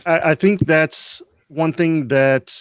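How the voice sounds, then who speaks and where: A man from Texas hosting a casual interview over a video call, relaxed, with "uh" and "you know" fillers. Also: a little high, slow pace, smooth, dry recording, dull audio. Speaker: host.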